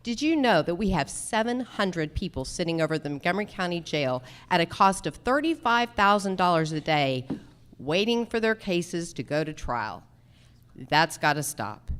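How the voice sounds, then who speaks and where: A woman's voice: fairly low pitch, animated, moderate pace, a bit bright, clean tone. A woman running for judge, giving an emphatic stump speech in short, slogan-like statements. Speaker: judicial candidate, lawyer. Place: live candidate forum.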